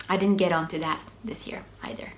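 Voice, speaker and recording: Woman, Finland-Swedish accent, Swedish native speaker, lowish voice, chatty with ums, faint background hiss. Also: steady tone, a little fast. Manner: chatty, self-interrupting, soft laughs, frequent ums. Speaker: knitting podcast host. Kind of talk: casual solo video podcast.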